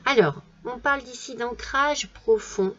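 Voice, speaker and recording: A woman with a cold giving a tarot reading in a gentle, soothing way, with many fillers and restarts, in a French accent. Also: fairly high pitch, a little slow, dull audio. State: has a cold. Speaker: tarot card reader. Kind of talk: casual tarot reading monologue.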